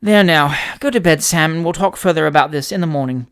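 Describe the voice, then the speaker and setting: A reader voicing a flustered, easily indignant young gentleman who speaks in a fussy, indignant manner. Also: medium pitch, a little fast, smooth, lightly acted.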